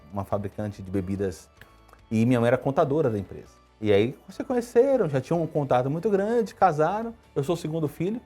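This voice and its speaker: A man around fifty from Salvador, a guest telling his life story in a relaxed interview. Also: husky. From Brazil (Salvador, Bahia). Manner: quick, storytelling, lively pitch swings. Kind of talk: conversational interview answers.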